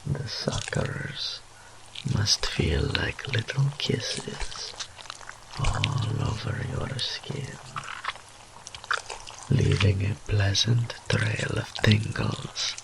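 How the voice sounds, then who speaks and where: Man voicing a low gravelly male incubus, breathy whispers, dominant teasing purr, intimate audio roleplay, background noise. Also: exaggerated voice. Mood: sultry, hushed. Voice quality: breathy, often dropping into whispers. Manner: slow, drawn-out, mock-sympathetic purring taunts.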